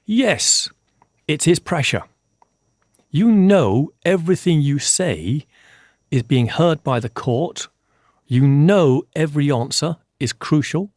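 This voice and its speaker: An older man from Scotland, a forensic scientist, answering interview questions in a measured, explanatory way and repeating key points for emphasis. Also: a little high, lively intonation, a little mellow, no echo, studio quality.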